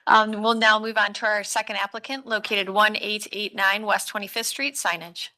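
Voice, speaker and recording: A woman chairing a public commission meeting, her voice slightly raspy today, moving things along in a brisk, procedural way. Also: medium pitch, little pitch movement, pushed and bright, poor audio.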